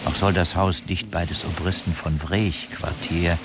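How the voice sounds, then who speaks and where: Very deep-voiced stern official. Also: male voice, fairly even intonation, a little slow, very noisy. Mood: stern, formal. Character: stern official voice reading an old Prussian decree. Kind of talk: formal dramatic reading of historical document.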